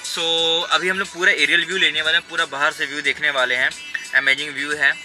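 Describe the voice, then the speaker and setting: A man's voice: on the high side, little pitch movement, brisk, music in the background, rough recording. A man vlogging casually from inside a car, talking straight to his viewers about where he is going next. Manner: chatty, addresses viewers directly. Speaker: travel vlogger. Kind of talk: casual travel vlog.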